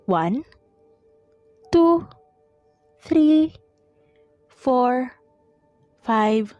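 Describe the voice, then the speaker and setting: A woman's voice: high-pitched, expressive, very slow, a little mellow, no echo, dull audio, soft background music, heavily acted. A woman playing a feisty, playful young female fish vendor in an audio drama, exclamatory, cheeky and easily flustered. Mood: playful, gleeful.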